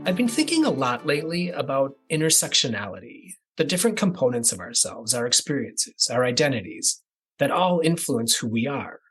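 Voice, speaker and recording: Husky-voiced male podcast host. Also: medium pitch, sing-song, a bit bright, faint music behind, low-quality recording. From American Midwest. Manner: measured, deliberate listing cadence. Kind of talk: scripted monologue introduction.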